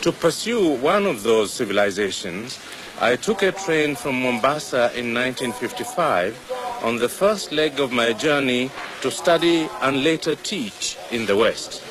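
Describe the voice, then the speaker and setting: Older Kenyan man narrating a documentary. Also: medium pitch. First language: Swahili. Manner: measured, reflective storytelling. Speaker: documentary presenter. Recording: steady background noise.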